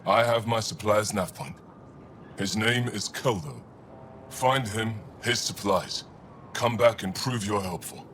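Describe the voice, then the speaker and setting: An actor playing a shrewd alien cargo trader in a video game, with blunt, clipped phrasing. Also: male voice, lowish voice, unhurried, fairly bright tone, a little hiss or hum. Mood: blunt, businesslike. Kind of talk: video game dialogue acting.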